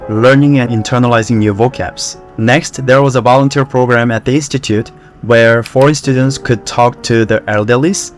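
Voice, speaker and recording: A man's voice: mid-range voice, moderate pace, few pauses. Korean guy vlogging, laid back, "you know" here and there, faint music. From South Korea. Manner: relaxed, conversational, with fillers like "you know" and "like". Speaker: language learning vlogger. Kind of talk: casual talk to camera.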